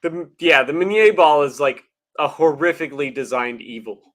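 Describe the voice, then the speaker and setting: A man's voice: a little high, a bit bright. A man chatting as a co-host on a livestream, opinionated and swearing casually. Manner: swearing, opinionated, quick to laugh.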